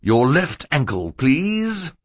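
A man voicing a stern, slow-witted gentleman in a storybook, measured and businesslike in manner. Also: on the low side, big pitch swings, husky, no echo, clean studio sound, pitched above the performer's own voice, exaggerated voice. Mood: stern, firm.

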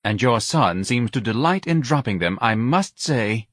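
A man's voice: mid-range voice, lively intonation, measured pace, dry recording, clean studio sound, voice pushed up. A man voicing a haughty, snappish young man in a bar. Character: snippy, elegant young man.

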